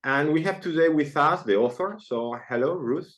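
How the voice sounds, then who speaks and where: A man's voice: fairly high pitch, expressive, normal speed, fairly bright tone. A man hosting an academic interview, introducing the guests and asking questions with hesitant repeats. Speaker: interview host.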